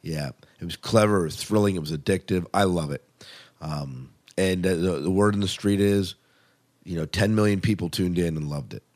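A man's voice: low-pitched, normal speed, a little mellow. A man co-hosting a podcast in casual review chat, with an enthusiastic, emphatic way of talking. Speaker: podcast co-host.

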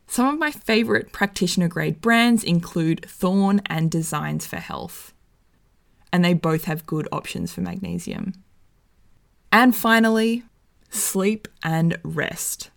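Slightly croaky woman giving wellness tips. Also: lowish voice, sing-song, takes it slowly. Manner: friendly, enthusiastic, conversational. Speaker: wellness vlogger. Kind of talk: casual advice to camera.

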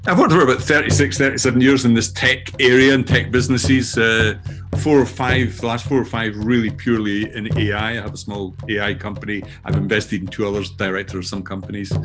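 An older Scottish man introducing himself as a guest in a loose, halting way, often laughing, over a remote call. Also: on the low side, steady tone, music in the background. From Scotland. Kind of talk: casual self-introduction.

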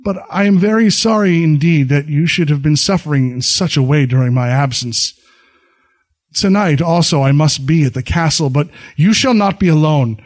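A man reading a storybook, voicing a calm, considerate samurai husband with formal speech. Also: on the high side, moderate pace, lightly acted. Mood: earnest. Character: gentle, honorable samurai husband.

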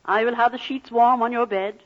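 A woman's voice: on the high side, steady tone, brisk, close to an everyday voice. A middle-aged woman acting a plain-spoken, loving miner's wife and mother in an old radio drama. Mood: anxious, caring. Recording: dull old 1940s radio recording.

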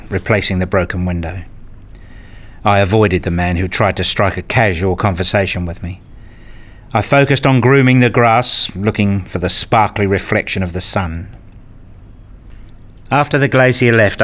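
A man acting as a wounded, self-justifying father in a radio play, speaking in an introspective, deliberate way. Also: fairly low pitch, fairly bright tone, lightly acted. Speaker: narrator and lead actor in a radio play. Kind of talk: radio play narration and dialogue.

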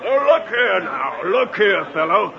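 An actor playing a pompous, blustering, officious sheriff in an old radio adventure. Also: male voice, high voice, big pitch swings, measured pace, gravelly, crowd noise, exaggerated voice. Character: blustering, self-important male sheriff. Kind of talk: mid-century radio adventure acting. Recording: dull 1950s radio recording.

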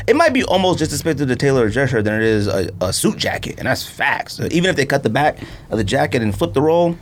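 A man hosting a podcast in casual chat, rambling and slangy as he tells animated stories in a studio. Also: mid-range voice, quick pace, a little hiss or hum. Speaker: sneaker podcast host. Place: podcast recording room, in studio.

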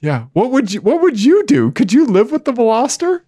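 A man co-hosting a casual podcast, giggly and animated, with a naturally high-pitched voice. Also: fairly quick, no echo, clean studio sound. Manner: giggly, animated, voice jumps high when excited. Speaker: podcast co-host.

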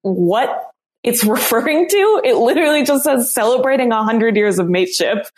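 A woman's voice: fairly high pitch, lively intonation, moderate pace, a little mellow. Giggly woman co-hosting a podcast. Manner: giggly and playful. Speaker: podcast co-host. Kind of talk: casual podcast chat.

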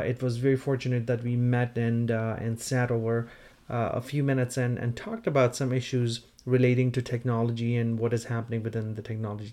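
A male podcast host with a mid-pitched voice talks in a conversational way. He pauses often and feels his way through his phrases as he presents his show. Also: darkish tone. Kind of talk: conversational podcast hosting, introducing guests.

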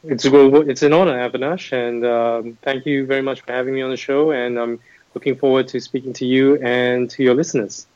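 A man's voice: mid-range voice, fairly even intonation, brisk, a little mellow, no echo. Thoughtful Singapore-raised man, muffled sound. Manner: thoughtful, many restarts and fillers. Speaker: MBA admissions head, guest. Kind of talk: interview conversation.

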